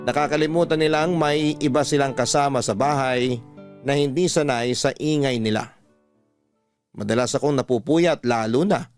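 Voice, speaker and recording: Male narrator for radio love stories, Filipino accent, medium pitch, deliberate delivery, close dry recording. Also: no echo. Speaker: radio-style story narrator. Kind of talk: first-person dramatic storytelling, reading a letter. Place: dry studio, music behind.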